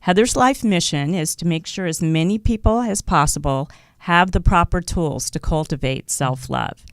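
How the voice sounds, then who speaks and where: A woman's voice: lowish voice, normal speed, no echo, studio quality. A woman with an American accent hosting a conversational interview show. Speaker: talk show host. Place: studio in Hollywood.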